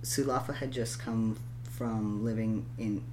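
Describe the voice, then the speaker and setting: A woman's voice: rumbling low, fairly even intonation, unhurried, warm tone, poor audio. A woman co-hosting a casual podcast chat, leading the talk and laughing easily, with a faint hum behind her. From Canada. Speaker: podcast co-host.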